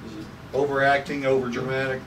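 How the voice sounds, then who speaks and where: A man with a mid-pitched voice talks casually as one of a cast at a table read. The sound is distant, with clear background noise. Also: little pitch movement, fast talker. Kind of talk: casual group chat. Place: room at a group table read. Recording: echoey, noisy room, distant mic.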